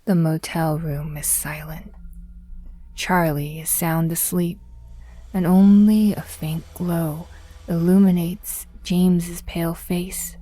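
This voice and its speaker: A woman reading scripted dramatic narration in a measured, brooding, literary manner. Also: on the low side, steady tone, warm tone.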